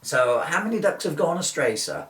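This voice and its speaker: A man's voice: fairly high pitch, sing-song, moderate pace, a bit bright. A man playing an exasperated, sarcastic police constable in a comic reading, officiously polite with fraying patience. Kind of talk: comic two-hander read from a book. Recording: distant, echoey room recording.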